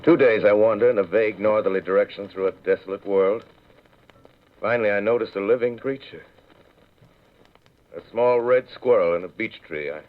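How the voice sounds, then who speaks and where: An actor playing a weary, educated survivor of an alien invasion, speaking solemnly and deliberately in 1930s radio drama style. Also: male voice, on the low side, natural voice. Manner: solemn, deliberate, literary narration. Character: weary, solitary educated man wandering after Martian invasion. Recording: narrow, tinny 1938 radio broadcast recording.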